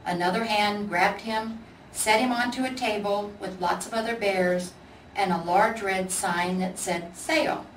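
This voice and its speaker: A woman's voice: medium pitch, steady tone, unhurried. A woman with an American accent narrating a children's storybook as a volunteer reader. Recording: echoey room, distant mic, faint noise.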